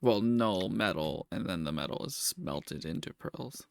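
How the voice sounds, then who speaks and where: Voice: sits low, animated, unhurried, gravelly, dry recording, clean studio sound. A game master casually explaining the scene and rulings in a tabletop roleplaying game.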